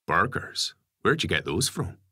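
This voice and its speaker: A man's voice: sits low, big pitch swings, a little slow, bright, forceful tone, breathy edge, exaggerated voice. A man voicing a jovial, affectionate family dad who likes to tease, in a story reading. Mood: cheerful. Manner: teasing, affectionate. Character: Gary, jovial working-class family dad.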